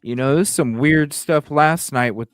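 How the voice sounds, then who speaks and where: A man hosting a livestream with casual, halting reaction commentary, often repeating himself. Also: on the high side, clear, resonant tone. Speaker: livestream host. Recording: roomy, music playing behind. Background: background music.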